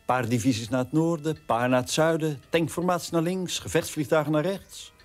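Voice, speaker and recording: A man's voice: fairly high pitch, fairly even intonation, normal speed, soft background music, natural voice. Scornful son in a radio drama, male actor. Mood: contemptuous. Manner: contemptuous, sardonic. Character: scornful son mocking his cowardly officer father.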